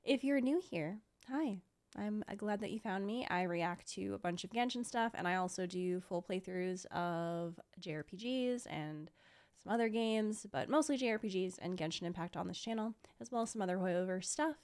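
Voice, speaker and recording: A woman's voice: mid-range voice, normal speed, a little mellow. A tired young woman with a slight lisp, chatting casually as she streams a reaction at a close desk microphone. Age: young adult. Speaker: streamer reacting to game trailers. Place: small room at home. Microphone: close desk microphone with headset.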